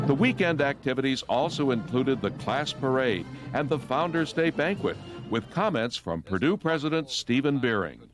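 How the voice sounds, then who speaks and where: A man reading scripted newsreel narration as the narrator. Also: medium pitch. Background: music.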